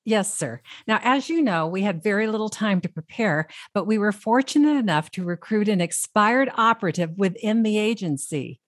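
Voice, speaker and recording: An actress in a radio play playing an earnest, businesslike government special agent. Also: female voice, mid-range voice, lively intonation, normal speed, hardly any pauses, lightly acted. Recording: echoey room with clear background noise.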